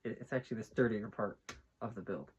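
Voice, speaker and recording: A man talking casually and informally to viewers in a soft, mellow voice, in the manner of a homemade ASMR video. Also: lowish voice, fast, highs cut off, slight background noise. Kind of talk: casual ASMR chat while building bricks. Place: small room at home, on the floor.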